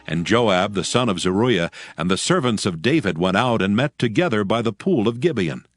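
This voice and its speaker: A man narrating a dramatized scripture audiobook with solemn, measured phrasing. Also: fairly low pitch, expressive, dry recording, soft background music.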